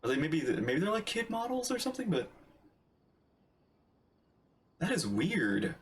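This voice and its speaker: Lively man reacting to a game. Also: a little high, a little slow, a little hiss or hum. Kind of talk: casual gameplay commentary, reading dialogue aloud.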